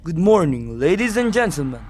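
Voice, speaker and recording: A man's voice: a little high, very animated, brisk, a little mellow, slight background noise. A man hosting a radio show as DJ, presenting from a script. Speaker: radio DJ host.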